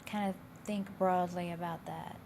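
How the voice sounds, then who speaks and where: A woman instructor with a slightly raspy, hoarse voice, explaining coursework in a casual, loosely phrased, conversational way. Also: on the low side, fairly even intonation, moderate pace, darkish tone. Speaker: college instructor. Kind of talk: informal explanation of course assignments. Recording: clear background noise.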